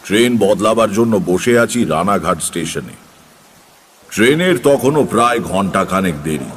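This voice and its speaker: Low-voiced seasoned tantric storyteller. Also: male voice, lively intonation, measured pace, big-room sound. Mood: grave, reflective. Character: Taranath, seasoned male tantric sage telling his true eerie tales. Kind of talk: dramatised audio story narration. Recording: faint background noise.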